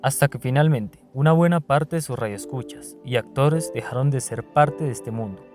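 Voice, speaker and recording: A man's voice: mid-range voice, moderate pace, music in the background. A man reading scripted documentary narration.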